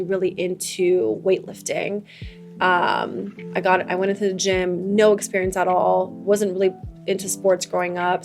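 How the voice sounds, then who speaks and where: A woman's voice: medium pitch, gravelly, a little mellow. A young woman whose first language is Farsi, co-hosting a podcast in a casual, earnest chat and speaking eagerly about fitness. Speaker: podcast co-host.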